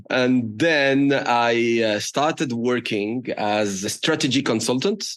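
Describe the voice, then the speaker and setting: A man from Morocco talks about himself in a conversational interview. His English is non-native, with a French accent. The pitch is average for a man and the sound is dry, with no room around it. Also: takes it slowly, fairly bright tone, dry recording. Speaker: startup founder, podcast guest.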